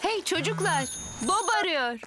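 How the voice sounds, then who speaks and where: A woman's voice: very high-pitched, lively intonation, measured pace. A woman playing a friendly young builder in a children's cartoon, giving upbeat instructions to the team. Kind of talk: animated children's cartoon dub. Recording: loud music under the voice.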